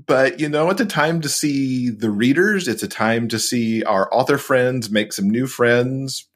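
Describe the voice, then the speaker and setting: A man's voice: medium pitch, measured pace. A man chatting casually as a podcast guest, upbeat and informal, with a fannish enthusiasm. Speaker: podcaster and author, interview guest.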